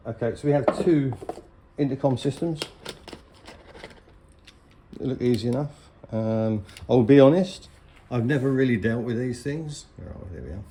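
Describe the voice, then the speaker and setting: A middle-aged man with a soft, dark-toned voice talks casually through a gear review. He is chatty and plain-spoken, and some background noise sits behind him. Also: mid-range voice, moderate pace, pauses often. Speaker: vlogger reviewing motorcycle gear. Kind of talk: casual unboxing and review talk.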